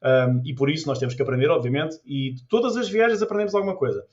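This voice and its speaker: Fast-talking Portuguese man, animated storyteller. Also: a little high, dull audio. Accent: European Portuguese. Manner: animated storyteller with many restarts. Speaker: podcast guest, tour guide. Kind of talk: casual interview chat.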